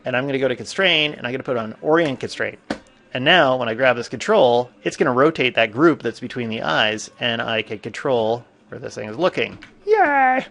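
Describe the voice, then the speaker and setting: Guy with a cold walking thru a 3d rigging tutorial, a bit gravelly and stuffy, lots of ums. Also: medium pitch, animated, a little fast, a bit bright, slight background noise. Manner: casual, thinking aloud with frequent fillers. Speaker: 3D animation instructor. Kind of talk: screen-recorded software tutorial.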